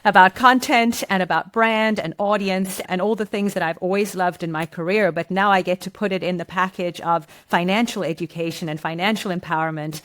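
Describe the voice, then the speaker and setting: South African woman, lower-pitched interview guest. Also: fairly even intonation, brisk, hardly any pauses, a bit bright. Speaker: guest, marketing director. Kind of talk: podcast interview.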